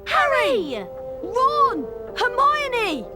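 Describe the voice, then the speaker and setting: Very high-pitched earnest schoolchild. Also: female voice, big pitch swings, very slow, heavily acted. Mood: eager. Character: earnest, excitable school-age child in a wizard game. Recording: loud music under dialogue.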